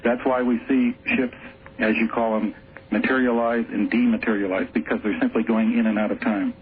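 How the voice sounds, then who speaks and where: A man calling in to a radio show, stating his theory with flat certainty. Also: fairly low pitch, brisk. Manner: assured, declarative. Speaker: caller. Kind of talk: call-in theory. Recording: tinny phone call with faint hiss.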